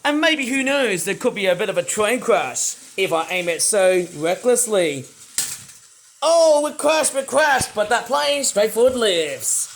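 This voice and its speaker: High-voiced man, playful toy review. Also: unhurried, a little hiss or hum. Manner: playful, rambling, with sudden excited outbursts. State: voice not fully well. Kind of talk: casual toy review vlog.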